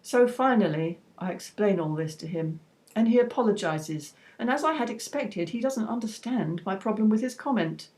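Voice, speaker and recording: A woman reading her own personal essay aloud in a measured, reflective and slightly wry way. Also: medium pitch, lively intonation, a little mellow.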